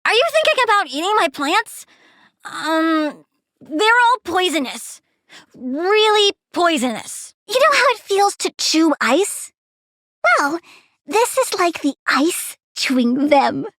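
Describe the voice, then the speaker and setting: Bratty little girl plant villain for a game, super high voice, swings all over, blunt and morbidly chirpy. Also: very animated, slow pace, a bit bright, dry recording, studio quality, heavily acted. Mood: gleeful, scheming, then playful, morbid. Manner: childlike, blunt, pouty. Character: young girl plant villainess, petulant, volatile, morbidly cheerful. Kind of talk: video game character voice lines.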